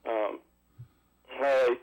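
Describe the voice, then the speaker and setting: A man's voice: medium pitch, steady tone, extremely slow, a little mellow. A male radio caller with a cold, rambling casually with many fillers. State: has a cold, froggy. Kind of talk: casual call-in chat. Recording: muffled phone line.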